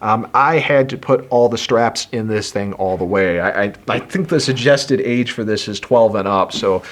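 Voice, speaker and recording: A man's voice: fairly low pitch, moderate pace, a bit bright. A man speaking casually to camera as a review host, explaining things in an easygoing way. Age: adult. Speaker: video host reviewing a toy helmet.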